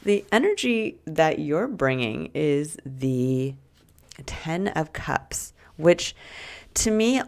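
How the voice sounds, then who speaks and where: A woman reading tarot cards for the week ahead, talking gently and reflectively with soft asides; she is sick and losing her voice. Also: sits low, sing-song, very slow, gravelly. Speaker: tarot reader. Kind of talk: weekly tarot reading to camera.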